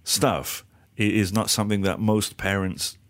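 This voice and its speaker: A man's voice: on the low side, fairly even intonation, measured pace. A middle-aged man interviewing a founder, conversational, often checking in with "right?". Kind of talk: interview questions.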